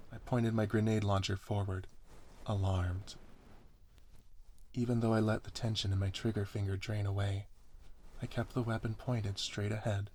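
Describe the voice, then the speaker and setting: A man's voice: fairly low pitch, a little mellow. A man reading a first-person story aloud as scripted ASMR narration. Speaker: story reader.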